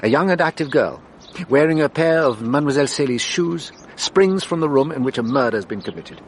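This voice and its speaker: An actor playing a shrewd French detective inspector in a radio drama, teasing and deliberate in his theatrical delivery. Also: medium pitch, expressive, slight background noise, natural voice. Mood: dramatic, explaining.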